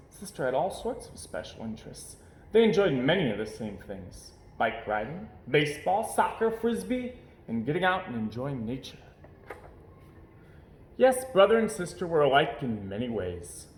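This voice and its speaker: A man narrating a children's picture book aloud in an expressive storytelling style. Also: a little high. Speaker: storybook reader. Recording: roomy, echoey indoor sound.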